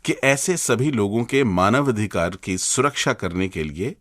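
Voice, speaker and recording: A man co-hosting a radio show, reading a scripted conversation in a lively, conversational way. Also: fairly low pitch, unhurried, dry recording, studio quality. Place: radio studio.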